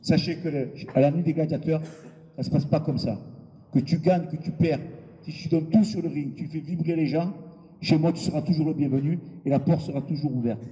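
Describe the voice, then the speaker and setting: A man promoting a boxing event at a press conference. He talks bluntly and informally, with many hesitant filler pauses. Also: on the high side, steady tone, mellow. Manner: blunt and informal, with crude words. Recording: long hall reverb with background noise.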